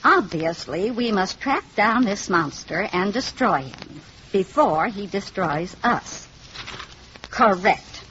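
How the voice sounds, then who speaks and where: An actress playing an excitable, nosy, fearful boarding-house landlady in a 1950s radio drama, fretful and exclamatory. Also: medium pitch, slow pace. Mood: alarmed, indignant. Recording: dull, hissy old radio recording.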